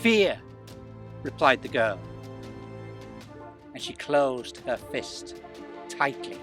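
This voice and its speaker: A man narrating a folktale as a storyteller, theatrical and emphatic, with suspenseful pauses. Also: mid-range voice, steady tone, music in the background.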